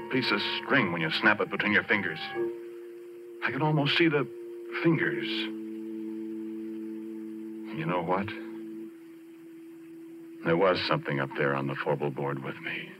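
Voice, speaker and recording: Brief: haunted oil-field roughneck, very low voice, folksy halting narrator for vintage radio horror, muffled recording with music. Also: male voice. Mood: hushed dread. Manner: folksy, halting, colloquial storytelling. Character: haunted plain-spoken oil rig roughneck recounting horror. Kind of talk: 1940s radio drama acting, first-person narration.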